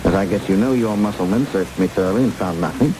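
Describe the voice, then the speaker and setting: A man's voice: low-pitched, fast, close to an everyday voice. A man playing a guarded, stubborn government courier in a vintage radio drama, with a dry, skeptical manner, on an old broadcast. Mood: sardonic. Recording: old radio broadcast under heavy rain noise.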